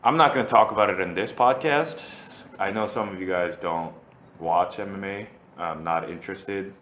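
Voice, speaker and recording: Man from korea, podcast host, casual rambling monologue, low voice, roomy echo. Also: moderate pace, a bit bright, a little hiss or hum. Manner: rambling, with many fillers and restarts.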